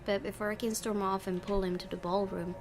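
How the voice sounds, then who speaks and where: A woman's voice: mid-range voice, level tone, fairly quick, noisy background. A woman hosting a gaming video, reading a visual novel aloud and adding casual commentary. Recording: game music behind the voice.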